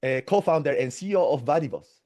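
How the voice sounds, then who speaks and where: Brief: male guest, 40s, somewhat high voice, chatty and halting with tag questions. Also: lively intonation, warm tone. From Latin America. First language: Spanish. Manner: restarts a lot, tags phrases with "no?" and "right?". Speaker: podcast guest. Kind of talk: casual interview storytelling.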